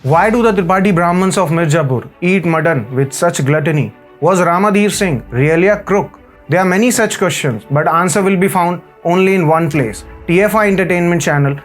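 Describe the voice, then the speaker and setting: A male announcer reads a scripted promo. His voice sits high for a man, and soft music plays behind him. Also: a little fast.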